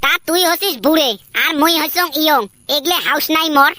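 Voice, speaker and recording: Man voicing a very high, cheeky young cartoon son. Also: steady tone, fairly quick, bright, forceful tone, dry recording, clean studio sound, soft background music, exaggerated voice. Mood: cheeky, defiant. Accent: northern Bengal (Cooch Behar region). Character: cheeky young son, pleading and scheming for money. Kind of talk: comedy cartoon voice acting in rural dialect.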